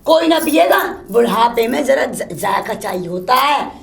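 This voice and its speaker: A woman playing a shrill, cursing old mother-in-law who keeps scolding her daughter-in-law. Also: lively intonation, measured pace, creaky. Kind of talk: comic one-woman sketch.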